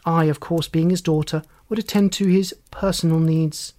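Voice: mid-range voice, fairly even intonation, moderate pace, warm tone, natural voice. A narrator voicing a composed, authoritative well-to-do woman in an audiobook, speaking formally and precisely. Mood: cool, formal.

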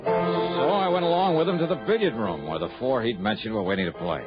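An actor playing a wry, sceptical private investigator in 1960s radio drama, with a clipped, dry, guarded delivery. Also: male voice, medium pitch, a little fast, close to an everyday voice. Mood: dry narration. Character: wry, sceptical male private investigator narrating his case. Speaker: lead actor and narrator. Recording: dull old radio recording with music.

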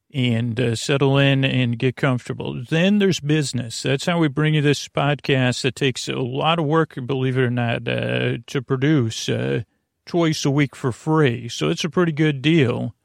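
Gravelly, meandering male podcast host. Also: medium pitch, dry recording, studio quality. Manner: halting, meandering, repeats and trails off mid-sentence. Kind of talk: rambling, informal sleep podcast introduction.

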